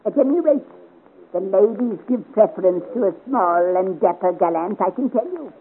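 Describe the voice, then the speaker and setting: An actor playing a small, dapper, boastful and warm-hearted soldier in a 1950s radio serial, with a theatrical, effusive manner. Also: male voice, high voice, lively intonation, normal speed. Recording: noisy, narrow-band vintage radio recording.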